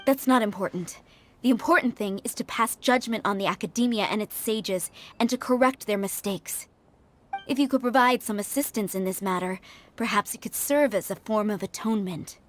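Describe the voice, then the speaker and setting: An actress playing a composed, enigmatic woman in a video game, speaking in a measured, solemn and persuasive way. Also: mid-range voice, lightly acted. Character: composed, enigmatic woman preaching judgment and atonement. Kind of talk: dramatic video game voice acting.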